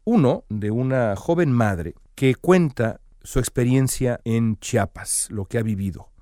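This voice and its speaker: A man's voice: medium pitch, animated, measured pace, mellow, no room sound, studio quality. A man delivering earnest, emphatic news commentary as the show's host.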